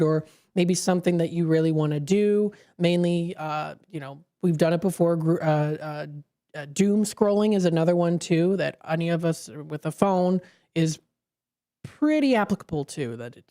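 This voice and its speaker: A woman's voice: fairly low pitch, dark tone. A woman radio host explaining brain science in a studio, talking at length with frequent fillers and self-corrections.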